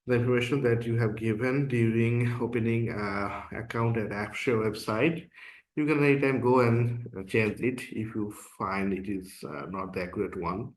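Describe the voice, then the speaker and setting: A man from Bangladesh, a non-native speaker of English whose first language is Bangla, casually explaining an application form step by step as an instructor. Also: mid-range voice. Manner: casual and explanatory, frequent "uh" and "okay". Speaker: course instructor. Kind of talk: screen-recorded tutorial walkthrough.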